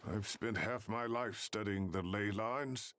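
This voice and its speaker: A man's voice: on the low side, measured pace, fairly bright tone. A man voicing an earnest, articulate scholar in a dramatic video game scene. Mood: pondering. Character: earnest adult male scholar who studies the ley lines.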